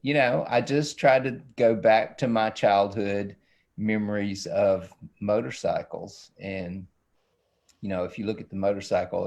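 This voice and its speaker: A man's voice: deep voice, creaky, a little mellow. A man walks through a drawing demonstration. He talks in a folksy, playful, casual way, like an illustrator chatting while he works. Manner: folksy, playful, self-described silly. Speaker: children's book illustrator.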